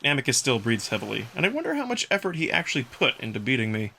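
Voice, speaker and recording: Animated, bright-voiced man narrating a story. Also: mid-range voice, quick pace, gravelly, slight background noise. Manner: expressive storytelling. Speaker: narrator and voice performer. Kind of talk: first-person scripted narration of a visual novel.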